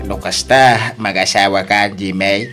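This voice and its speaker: A man's voice: fairly low pitch, steady tone, normal speed, over music, close to an everyday voice. A man playing a blunt, argumentative older uncle in an Ilocano audio drama, with a Filipino accent. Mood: inquiring. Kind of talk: radio drama acting.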